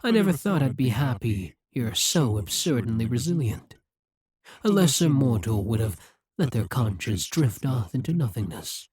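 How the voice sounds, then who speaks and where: Performer acting a haughty demon ruler, very breathy and husky, dark mellow tone, condescending, coldly possessive, ASMR roleplay. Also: mid-range voice, very animated, no room sound. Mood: coolly amused, condescending. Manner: slow, musing, condescending.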